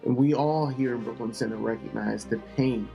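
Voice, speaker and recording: A man's voice: mid-range voice, moderate pace, creaky, muffled recording, over music. A male official giving a formal public statement of assurance.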